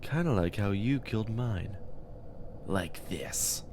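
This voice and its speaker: An actor playing a bitter, vengeful undead man, delivering a halting, coldly menacing monologue in an audiobook drama. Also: fairly low pitch, expressive, background noise. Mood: cold resolve. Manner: deliberate, halting, seething villain monologue.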